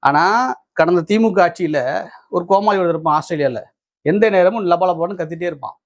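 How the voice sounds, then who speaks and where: A man with a high-pitched voice and a Tamil Nadu accent speaks as a political commentator. He addresses viewers directly in an opinionated, combative way. He often repeats a phrase to drive it home, sounding indignant. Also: fairly quick.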